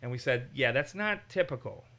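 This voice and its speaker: A man's voice: mid-range voice, normal speed. A man from Massachusetts, teaching a conversational Bible study and animatedly acting out the quotes. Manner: animated, acts out quotes in raised voices.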